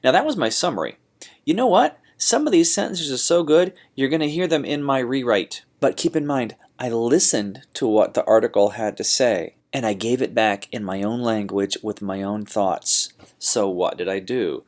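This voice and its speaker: A man's voice: medium pitch, moderate pace. A man teaching a writing lesson in an informal, slangy, theatrical and emphatic manner. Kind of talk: classroom lesson on paraphrasing and essay writing.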